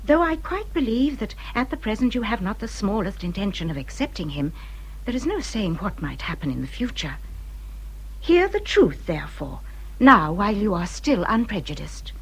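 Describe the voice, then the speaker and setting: An embittered English widow in a period radio drama. Her voice is breathy and husky, with very animated pitch that rises and falls dramatically. She speaks formally and emphatically, as a wronged woman warning a friend. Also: normal speed, low-quality recording. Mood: grave, urgent. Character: bitter, injured widow warning a younger friend.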